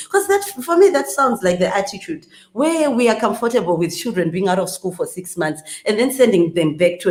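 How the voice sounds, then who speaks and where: A woman's voice: fairly low pitch, darkish tone. Woman, panel guest, earnest with many restarts; echoey room. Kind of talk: discussion panel.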